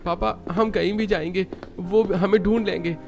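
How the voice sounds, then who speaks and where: A man's voice: high-pitched, fairly even intonation, normal speed, vocal fry. Male actor as earnest young fugitive: protective, urgent, melodramatic audio-story delivery over faint music. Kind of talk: dramatized Hindi audio story acting.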